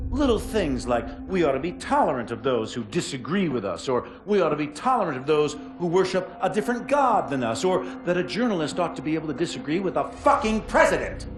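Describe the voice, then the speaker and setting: An actor with an American accent playing a cynical, authoritarian man of power in a video game, lecturing in an emphatic, folksy way. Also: on the high side. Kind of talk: dramatic video game monologue.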